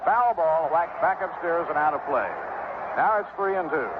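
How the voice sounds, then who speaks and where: A man's voice: a little high, moderate pace, phone-quality sound, background noise. An American man calling baseball play-by-play in the style of a 1960s live radio broadcast. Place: outdoor ballpark broadcast position.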